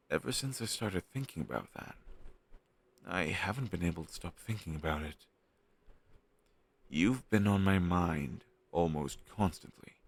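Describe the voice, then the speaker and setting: A young man's deep, creaky voice acting out a lovestruck best friend in a romantic audio roleplay. He takes his time with each phrase, halting and tender, with a shy, teasing edge and some rasp in the tone. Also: exaggerated voice.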